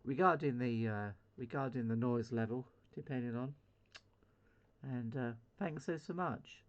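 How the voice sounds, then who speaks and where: A man's voice: medium pitch, measured pace, dull audio. A British man chatting casually as a CB radio hobbyist on his video channel, rambling hesitantly in a self-deprecating way. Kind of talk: casual hobby vlog chat.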